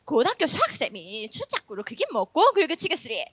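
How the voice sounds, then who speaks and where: A woman's voice: high voice, wildly swooping pitch, fairly bright tone, husky, dry recording, heavily acted. A woman voicing a brash woman who scolds someone in thick Gyeongsang dialect. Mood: scolding. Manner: rapid, scolding, blunt.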